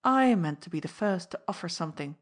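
A woman voicing a masked gentleman thief who speaks softly, coolly and with mocking politeness. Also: fairly low pitch, animated, a little fast, lightly acted. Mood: polite. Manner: soft, cool, politely mocking.

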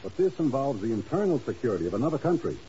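An actor playing a worried, gruff intelligence bureau chief in a 1950s radio drama. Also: male voice, mid-range voice, sing-song, rapid-fire, dark tone, close to an everyday voice. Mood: firm, reluctant. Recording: dull, hissy 1950s radio recording.

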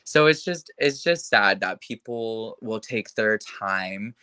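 A young man speaking in an interview, his voice pitched a little on the low side but with a bright, forward edge. He talks with heated conviction and scatters filler words as he goes. The sound is dry and close. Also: lively intonation, takes it slowly, clear, resonant tone, no room sound. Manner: impassioned, heavy use of filler words. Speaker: interview guest. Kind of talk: casual conversation.

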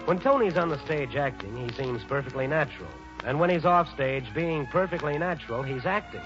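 A man acting as a droll, polished narrator from 1940s radio, speaking in a fairly high male voice. The muffled vintage recording has clear music behind him. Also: animated, brisk, natural voice. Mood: wry, urbane. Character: wry, urbane male narrator introducing a theatrical family.

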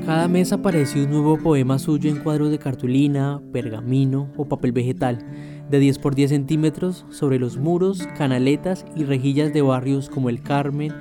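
A man who hosts the podcast, narrating from a script in a calm, measured storytelling voice. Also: mid-range voice, music in the background.

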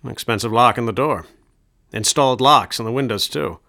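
An actor playing a world-weary, sardonic Boston police detective who asks probing questions in a radio drama. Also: male voice, mid-range voice, a little fast, creaky, pushed and bright. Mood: musing. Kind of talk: 1920s-style radio drama acting.

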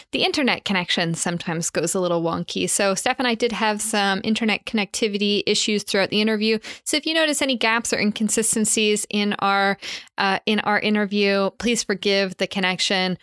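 A woman's voice: mid-range voice, steady tone, fairly quick, a bit bright, no echo, clean studio sound. Enthusiastic Canadian woman hosting a podcast. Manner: enthusiastic, gushing, many restarts. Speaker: podcast host. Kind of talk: casual podcast intro.

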